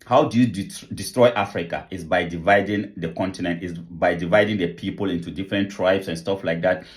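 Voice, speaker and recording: A man's voice: low voice, fairly even intonation, a little fast. A man hosting an online channel, talking earnestly to viewers with repeated rhetorical questions. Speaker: channel host. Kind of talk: vlog commentary, appeal to viewers.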